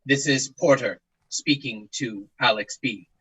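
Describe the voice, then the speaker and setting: An actor playing a formal, methodical investigator of strange events, speaking in a clinical, hesitant, measured way in an audio drama. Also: male voice, medium pitch, fairly bright tone, slightly rough, dry recording, rough recording. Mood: probing, polite. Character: formal, methodical paranormal investigator, male, narrating case tapes. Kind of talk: audio drama, scripted case-file narration and interview.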